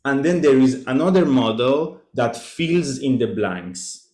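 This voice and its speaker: A man whose first language is Farsi walks colleagues through his research over a video call. He explains at a measured pace and sits at a middle pitch, with a roomy echo around his voice. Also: animated, a little mellow. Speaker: presenter. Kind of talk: academic journal club talk.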